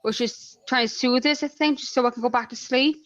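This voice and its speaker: High-voiced woman, dry sound. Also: fairly even intonation, fast, fairly bright tone, dry recording, muffled recording. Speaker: podcast guest. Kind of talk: casual personal storytelling.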